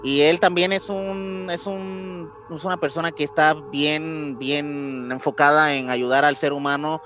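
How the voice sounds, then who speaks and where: A man with a Mexican accent chatting casually as a podcast co-host, halting and searching for words in slangy speech. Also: a little high, fairly even intonation, a bit bright. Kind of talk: casual podcast chat about ancient mysteries. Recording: music behind the voice.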